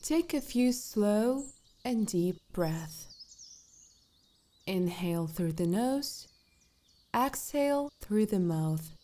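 A woman guiding a meditation with scripted instructions, speaking in a calm, soothing, measured way with long pauses. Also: medium pitch. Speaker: meditation guide.

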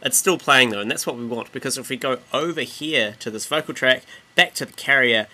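Male music software tutor, bright forward voice, informal, explanatory, rephrases as he goes. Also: mid-range voice, fairly quick. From New Zealand. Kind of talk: casual screen-recorded software tutorial.

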